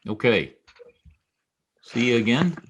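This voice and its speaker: An actor playing a wisecracking, world-weary private detective in a noir radio drama, wry and sardonic. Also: male voice, medium pitch, very animated, takes it slowly, slight background noise. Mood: casual, wry. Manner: wry, sardonic, clipped tough talk. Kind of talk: old-time radio noir acting and first-person narration.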